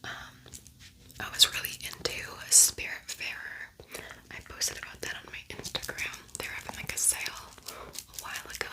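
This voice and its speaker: A woman whispering in a breathy, unvoiced voice, chatting casually and a little ramblingly through an ASMR makeup tutorial. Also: medium pitch, pushed and bright, rough recording. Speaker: ASMR beauty vlogger.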